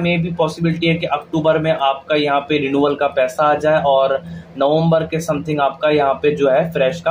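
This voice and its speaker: A man's voice: mid-range voice, a little hiss or hum, low-quality recording. A man with an Indian accent giving an informal explainer to viewers, chatty and colloquial, often checking they follow. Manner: colloquial, addresses viewers like friends, frequent check-in tags. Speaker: video host giving scholarship updates.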